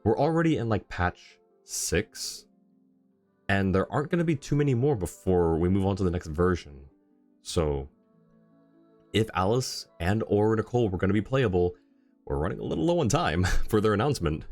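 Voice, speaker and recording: A man with a low voice talks casually as a game streamer. He is easygoing and often thinks aloud, with faint music in the background. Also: lively intonation, normal speed, darkish tone, no room sound. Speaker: game livestreamer. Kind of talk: casual livestream chat.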